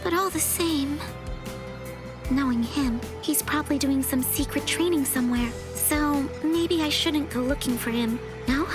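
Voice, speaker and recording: Pensive anime game schoolgirl, high voice, slow pace, gentle, caring, thinking aloud. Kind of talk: English anime game dub monologue. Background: music.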